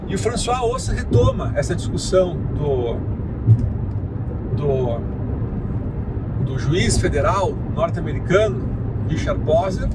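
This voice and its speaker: A man, a law professor giving a casual, chatty lecture from his car, with frequent little check-in tags. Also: sits high. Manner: chatty, many filler tags like "tá?" and "né?". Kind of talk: casual lecture while driving. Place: in a car on the road. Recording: loud road noise in a car. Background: loud traffic and car noise.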